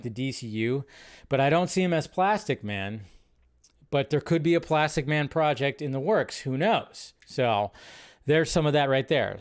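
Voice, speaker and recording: Higher-voiced man chatting movie news. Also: smooth. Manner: informal, chatty, thinking out loud. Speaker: host of a live movie news show. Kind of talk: casual rambling fan news commentary.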